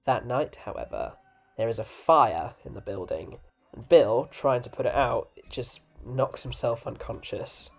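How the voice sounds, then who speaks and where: A man's voice: medium pitch, animated, unhurried, gravelly. Male reviewer narrating with wry asides. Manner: informal, opinionated, wry. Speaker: video essay reviewer and narrator. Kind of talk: scripted narration with casual opinion asides.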